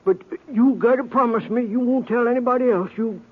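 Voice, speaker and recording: An actor playing a timid, henpecked older bank teller in a radio western, stammering nervously with a folksy American accent. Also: male voice, very high voice, brisk, slightly rough. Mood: anxious, confessing. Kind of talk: old-time radio western acting. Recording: tinny, narrow-band old radio broadcast.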